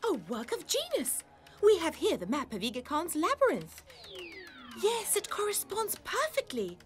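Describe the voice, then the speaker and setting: A woman's voice: extremely high pitch, huge pitch swings, normal speed, a little hiss or hum, exaggerated voice. A woman voicing a prim, self-assured schoolgirl genius in a cartoon, precise and bookish. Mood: keen, confident. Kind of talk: cartoon acting.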